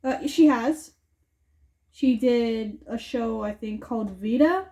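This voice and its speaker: A young woman co-hosts a casual movie review. Her voice sits a touch high, with a soft, mellow warmth. She talks playfully, ribbing her co-host and laughing easily. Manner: playful and teasing, quick to laugh.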